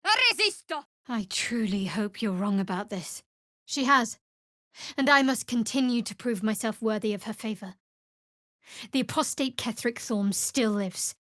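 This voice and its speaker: A woman playing a guarded young half-elf cleric in a fantasy video game, with a dry, sardonic, measured delivery. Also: on the high side, animated, sharp tone, no echo. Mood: incanting, forceful.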